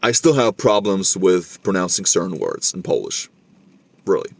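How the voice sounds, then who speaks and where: A man's voice: sits low, very animated, normal speed, gravelly, no room sound. A young man with a slightly Scandinavian-tinged English accent, chatting casually to camera, repeating himself and calling the listener bro. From Norway. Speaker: vlogger.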